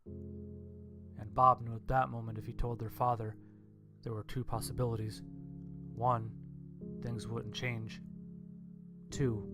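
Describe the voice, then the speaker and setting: A man reading a scripted horror story as its narrator. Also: medium pitch. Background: instrumental music.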